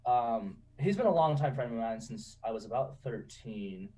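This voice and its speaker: A man in his twenties telling stories in a relaxed, chatty way, laughing often and saying "you know" a lot. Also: mid-range voice, normal speed, distant mic, low-quality recording. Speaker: guest. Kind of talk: casual interview storytelling.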